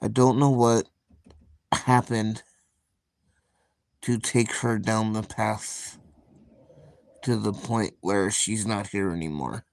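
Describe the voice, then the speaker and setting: A man chatting on his live stream, thinking aloud slowly and softly and pausing often. His voice sits low and stays fairly flat. The sound is dry and close, with no room around it. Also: gravelly, no room sound. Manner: slow, soft-spoken, reflective, with frequent pauses and fillers. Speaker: livestream host. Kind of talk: casual live stream chat.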